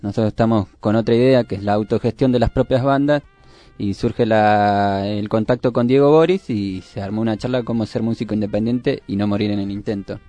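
A man with a Buenos Aires area Argentine accent explaining things haltingly as a guest in a radio interview. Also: fairly low pitch, mellow, no echo. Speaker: studio guest, youth cultural organiser.

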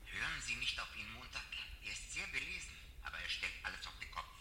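An actor playing an anxious secret adviser in a radio play, giving hushed, urgent warnings as if through a small earpiece. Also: male voice, medium pitch, sing-song, quick pace, a crowd in the background. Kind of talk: German radio play acting. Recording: noisy, echoey, distant-sounding transmission.

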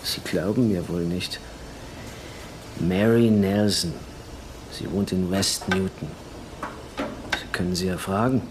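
A low-pitched, offhand garage worker in a radio crime drama. He talks casually with a callous, guarded edge. Clear workshop noise sits behind him. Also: steady tone, takes it slowly, pauses often. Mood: defensive. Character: casual, bigoted male auto body painter.

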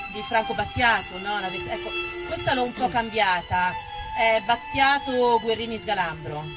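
A woman's voice: mid-range voice, rough recording. Playful woman performer over violin music. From Italy. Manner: playful, chatty, addresses the audience directly. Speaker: stage performer. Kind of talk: live theatre monologue to an audience.

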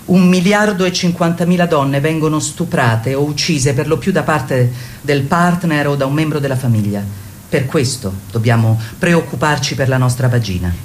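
A woman's voice: low-pitched, moderate pace, darkish tone, slight background noise, lightly acted. An actress playing an assertive female therapist who leads a women's group in a didactic, declamatory way in a radio play. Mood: grave. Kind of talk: theatrical radio play monologue.

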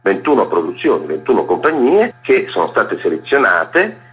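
A man with a husky, rough-edged voice, explaining things as a festival director interviewed on the radio. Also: fairly low pitch, big pitch swings, normal speed. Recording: dull lo-fi radio sound, music underneath. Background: music.

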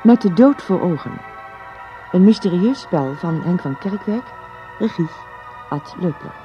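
A man announcing a radio play title slowly and dramatically. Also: sits high, expressive, lo-fi sound, faint music behind. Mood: dramatic.

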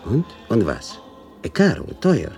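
A man's voice: on the low side, wildly swooping pitch, a little slow, vocal fry, darkish tone, soft background music, full character voice. An actor playing a shrewd, talkative Italian informant with an Italian accent who mixes Italian words into his speech in a radio drama. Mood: haggling, animated. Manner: slips Italian words into broken German.

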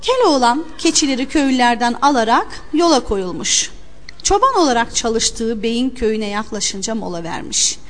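A woman reading a scripted fairy tale for an audio storybook in an expressive, theatrical way. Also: fairly high pitch, moderate pace, a little hiss or hum. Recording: long hall-like reverb.

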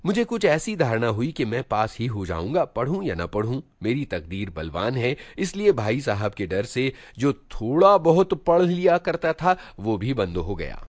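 A man reading a literary short story aloud as an expressive narrator. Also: mid-range voice, unhurried, few pauses, no echo.

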